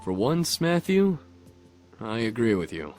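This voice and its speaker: A man voicing a hot-headed, protective young demon in a visual novel, blunt and expressive. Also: medium pitch, measured pace, faint music behind. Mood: indignant.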